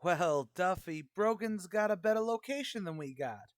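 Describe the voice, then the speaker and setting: Man acting as high-pitched male tavern manager, scheming, wisecracking, slangy, old-time radio comedy. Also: lively intonation, moderate pace, no room sound, studio quality. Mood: placating. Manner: wisecracking, streetwise slangy talk.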